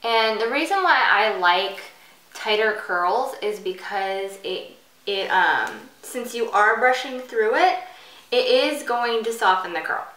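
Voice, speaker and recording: A woman's voice: on the high side, expressive, slow pace, recorded from a distance. A woman giving a casual, chatty step-by-step hairstyling tutorial as she demonstrates. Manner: chatty, informal. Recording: bright roomy echo, off the mic.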